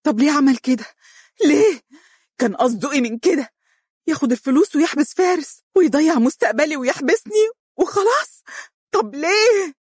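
Shrill, frantic Egyptian woman in a storybook, her voice leaping far up as she screams in panic, put on by the narrator. A dry, close recording with no room sound. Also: animated, fairly quick, darkish tone, no echo. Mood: furious. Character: hysterical young woman facing prison.

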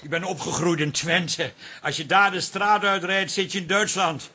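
A cheeky young man, high and husky. He teases with sarcasm in a radio play, his bright voice set against faint music. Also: fairly even intonation, moderate pace, heavily acted. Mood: reassuring. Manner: sarcastic, teasing. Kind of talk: radio drama acting.

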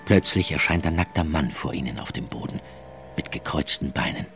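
A man narrating a scripted radio drama in a measured storytelling manner. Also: very deep voice, expressive, a bit bright, faint music behind.

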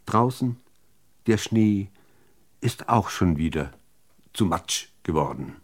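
Slow, low-voiced man reciting poetry. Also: lively intonation. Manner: measured, deliberate phrasing with dramatic pauses. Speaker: reader of poetry.

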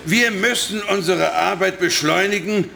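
An actor playing a sinister, short-tempered hacker boss in a children's radio play, domineering and scornful. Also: male voice, high voice, unhurried, vocal fry, pushed and bright, slight background noise, heavily acted. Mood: scheming. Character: finster, bossy male hacker boss, short-tempered schemer.

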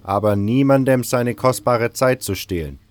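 A man acts out a temple guard in a fantasy audio drama. He is stern and forceful in his warnings, loyal to his master and quick to threaten. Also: medium pitch, voice pushed up, natural voice.

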